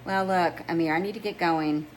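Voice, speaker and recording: A woman's voice: lowish voice, fairly even intonation, normal speed, lightly acted. A woman voicing a composed, friendly professional heroine in a romance audiobook, casual and polite. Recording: noticeable background noise.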